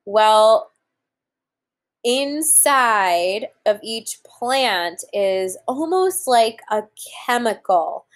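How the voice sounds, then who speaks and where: A woman in her thirties reading aloud to young children as a teacher, pausing to explain things simply and deliberately. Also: a little high, lively intonation. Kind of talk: children's read-aloud lesson with explanatory asides. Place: room at home, indoors.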